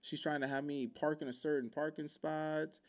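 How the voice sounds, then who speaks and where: A man from Hawaii telling a story in a casual, joking podcast chat, animated and full of vocal sound effects. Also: on the high side, moderate pace. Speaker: podcast speaker.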